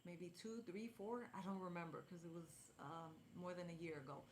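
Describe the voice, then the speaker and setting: A woman's voice: on the low side, normal speed, slight background noise. A young woman chatting on a livestream, rambling, with many restarts and fillers, into a mic she holds close. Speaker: vlogger. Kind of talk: casual livestream chat. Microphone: clip-on mic, later held closer.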